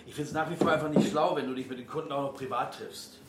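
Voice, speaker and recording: An actor playing a weary, caring and worried bar owner in a naturalistic radio drama. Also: male voice, mid-range voice, steady tone, quick pace, roomy sound, very noisy, close to an everyday voice. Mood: worried, reproachful.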